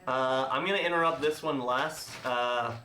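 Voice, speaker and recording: A man's voice: medium pitch, moderate pace, bright, forceful tone, slight background noise. Offhand man in an echoey room. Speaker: stream host introducing old radio. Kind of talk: casual commentary. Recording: roomy echo, faint music behind.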